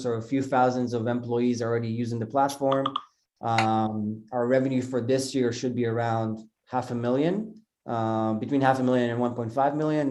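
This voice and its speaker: Man, startup founder, matter-of-fact answers, mid pitch. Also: darkish tone.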